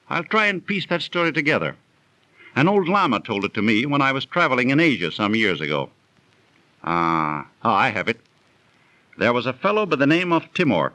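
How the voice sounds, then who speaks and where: An actor in a 1930s radio drama playing a well-travelled, genteel storyteller recounting an old legend theatrically. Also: male voice, mid-range voice, bright, forceful tone, no room sound, poor audio.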